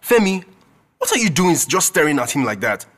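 A man's voice: on the high side, sing-song, a little fast, fairly bright tone. An actor with a Nigerian accent playing an anxious, reproachful young male student in a radio drama. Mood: alarmed, reproachful.

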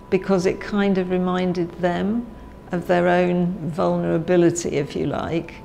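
Slow-paced British woman, slightly creaky voice. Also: lowish voice, darkish tone. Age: middle-aged. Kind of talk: measured documentary interview. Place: indoor office meeting room. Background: music.

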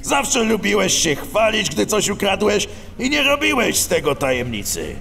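A stern, tired animal police chief in a children's radio drama. The voice is heavily gravelly yet high for a male, terse and grudging. It echoes in a long reverb. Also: sing-song, moderate pace, bright, forceful tone, slight background noise, full character voice. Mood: weary, reproachful. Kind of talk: children's audio drama acting. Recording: long cavernous reverb, music behind.

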